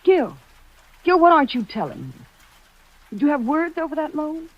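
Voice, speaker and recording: A woman's voice: high voice, sing-song, normal speed. A woman acting an anxious, suspicious wife in a 1940s-style radio drama, confiding as narrator and probing in dialogue. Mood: anxious, questioning. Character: anxious, suspicious wife of a broke resort owner. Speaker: lead actress and first-person narrator. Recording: muffled old radio recording with loud music.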